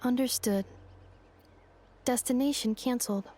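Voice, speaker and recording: Woman as a high-voiced, formal robot girl. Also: a little slow, mellow, slight background noise, close to an everyday voice. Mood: obedient. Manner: formal, clipped, procedural. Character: polite female robot, literal and dutiful. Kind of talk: video game voice acting.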